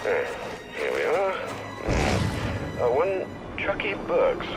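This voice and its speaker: An actor playing a dutiful older butler in a video game, formal and deferential in manner. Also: male voice, fairly high pitch, wildly swooping pitch, slow, over loud music, full character voice. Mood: courteous.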